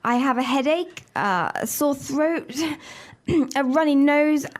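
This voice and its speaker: A high-voiced female employee , acted by a woman, calls in sick in a scripted lesson dialogue. She is meek and apologetic, hesitating as if feeling poorly. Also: animated, slow pace. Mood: meek, sickly. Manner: hesitant, coughing and throat-clearing.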